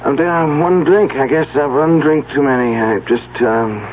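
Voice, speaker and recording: An actor playing a drugged, confused man, halting and slurred, in a 1970s radio drama. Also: medium pitch, close to an everyday voice. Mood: groggy, confused. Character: groggy, muddled drunk man, drugged husband type. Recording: tinny, noisy old radio recording.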